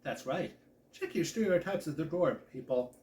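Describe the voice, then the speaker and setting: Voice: medium pitch, animated, normal speed, a little mellow, lightly acted. A man voicing a friendly, reassuring girl at summer camp in a storybook, with a breezy, joking manner. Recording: echoey room.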